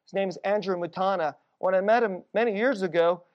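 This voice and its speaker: A middle-aged Korean American woman telling stories in a sermon, casual and conversational, dropping to a hushed low voice at tense moments. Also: a little fast, highs cut off. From United States.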